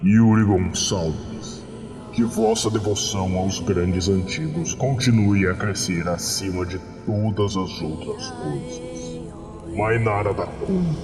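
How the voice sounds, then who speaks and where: A man playing a solemn, ceremonious cult priest of dark ancient gods, preaching as if at a ritual in a horror podcast. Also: low-pitched, expressive, pitched below the performer's own voice. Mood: solemn, reverent. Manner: solemn, ceremonious, drawn-out delivery. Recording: long cavernous reverb with music behind.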